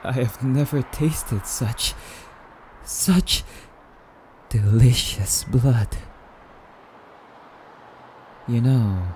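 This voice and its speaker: A man's voice: medium pitch, dark tone, slight background noise, close to an everyday voice, poor audio. A man playing a courtly, wistful male vampire in an ASMR roleplay, speaking in a musing, slightly archaic, haughty yet polite manner. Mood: delighted, hungry. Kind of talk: ASMR roleplay monologue addressed to listener.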